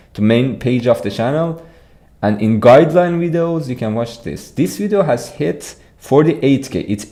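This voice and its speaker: A man's voice: mid-range voice, dark tone. A young man with an Iranian accent, chatting casually on a livestream, often repeating words as he answers viewers. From Iran. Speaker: streamer.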